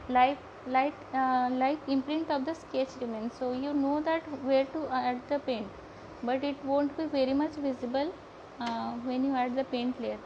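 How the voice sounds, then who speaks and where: High-voiced young Indian woman, noisy lo-fi audio. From Odisha, India. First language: Odia. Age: young adult. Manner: hesitant, stumbling, repeats words. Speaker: art live-stream host and painting teacher. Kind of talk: casual live painting tutorial with chat. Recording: dull lo-fi audio with heavy background noise.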